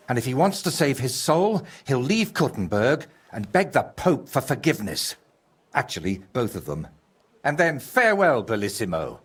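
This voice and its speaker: An actor playing a troubled, scheming male painter in a video game, excitable and theatrical, with a husky voice. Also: medium pitch. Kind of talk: video game character dialogue.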